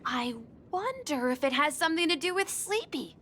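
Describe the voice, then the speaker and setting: A woman's voice: high-pitched, big pitch swings, normal speed, a bit bright, slight background noise. A woman voicing a polite, eager, innocent young boy bellboy in a video game, earnest and slightly hesitant. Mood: friendly, earnest.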